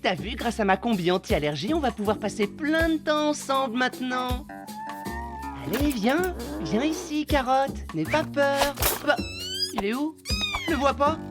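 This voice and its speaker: Excitable high-voiced little boy. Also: unhurried, over loud music. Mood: eager. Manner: animated, childlike exclaiming. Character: excitable, affectionate modern schoolboy, easily flustered. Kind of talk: French cartoon dubbing.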